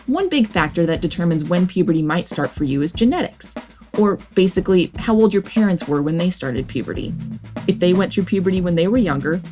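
A woman hosting an educational podcast, reading a scripted narration in a gentle, reassuring manner. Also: fairly low pitch. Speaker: podcast host. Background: background music.

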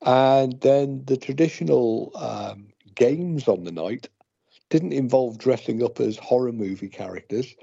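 British male co-host, husky and mellow, wry casual chat over slightly dull audio. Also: medium pitch, a little slow. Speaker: podcast co-host.

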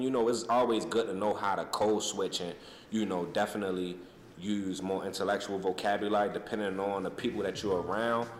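A man with a very deep, low voice speaks earnestly, filling his sentences with "you know". He sounds like he is in a large, echoing hall with long reverb. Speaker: author. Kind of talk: interview clip. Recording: echoey hall clip.

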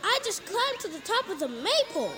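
A woman voicing a small, excitable, defiant child who calls out shrilly in a comic audio drama. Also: very high-pitched, fairly quick. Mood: proud, defiant. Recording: distant, noisy playground with crowd behind.

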